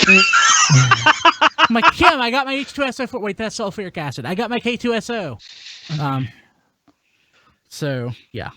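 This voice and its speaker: A man hosting a casual podcast, chatting in an animated, exclamatory way. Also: extremely high pitch, unhurried, pauses often, very noisy. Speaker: podcast host. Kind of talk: casual toy-news podcast chat.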